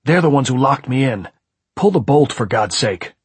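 A man voicing an enraged, desperate husband in an audiobook, intense, shouting and pleading. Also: fairly low pitch, unhurried, breathy edge, dry recording. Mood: urgent. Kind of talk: audiobook character voice.

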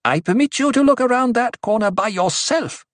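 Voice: medium pitch, takes it slowly, dry recording, clean studio sound, voice pushed up. A narrator voicing a fierce young prophetess in an audiobook, commanding and intoning cryptic pronouncements to a crowd. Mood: sharp, angry. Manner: commanding, ritual intoning that turns sharp.

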